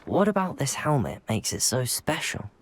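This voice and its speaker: A man's voice: mid-range voice, moderate pace, natural voice. A man voicing a young wizard hero. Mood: curious. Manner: lively, inquisitive, shouts spells in battle. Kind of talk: video game dialogue. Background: loud game music.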